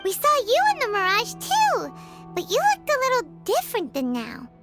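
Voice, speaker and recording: A woman voicing a tiny, excitable fairy companion in a video game, with a squeaky childlike voice. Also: normal speed, over music, exaggerated voice. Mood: curious. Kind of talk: scripted game voice acting.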